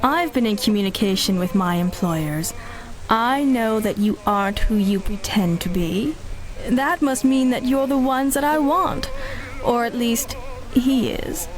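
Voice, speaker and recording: A woman's voice: fairly high pitch, measured pace, close to an everyday voice, poor audio. An actress playing a cool, menacing female agent, condescending and assured, in 1930s-style radio drama. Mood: cool menace.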